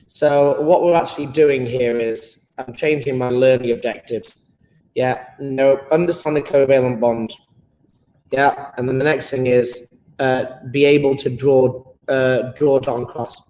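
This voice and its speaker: A man's voice: mid-range voice, steady tone, measured pace, a little mellow, echoey room, low-quality recording. A British man teaching a live online chemistry lesson, playful and enthusiastic, joking with his pupils.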